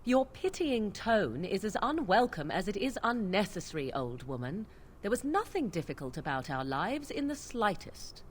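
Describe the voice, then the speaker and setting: A woman's voice: medium pitch, big pitch swings, moderate pace, a little hiss or hum, natural voice. A young woman voicing a haughty witch of the wilds in a video game, who talks in a cool, cutting, disdainful way. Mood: scornful.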